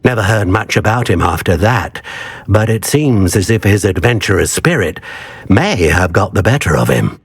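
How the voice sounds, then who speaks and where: Old British wandmaker: very husky, low voice, courteous and earnest, swooping expressive phrasing for a game. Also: male voice, exaggerated voice. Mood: musing. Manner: genteel, measured, expressive. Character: courteous elderly male wandmaker, earnest and wistful. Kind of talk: video game acting.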